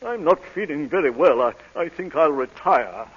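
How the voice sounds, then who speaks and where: An actor playing an indignant society gentleman in a vintage radio drama, pompous and prone to stammering. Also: fairly high pitch, expressive, normal speed, breathy edge. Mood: flustered. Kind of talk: 1930s-40s radio drama acting. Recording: muffled old radio broadcast, long echo.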